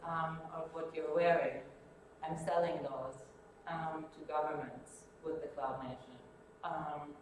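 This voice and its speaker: A woman whose first language is Greek gives a colloquium talk in a university lecture hall, halting with many um fillers. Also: sits low. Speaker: guest lecturer. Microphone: distant room camera. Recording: echoey hall, far from mic, noisy.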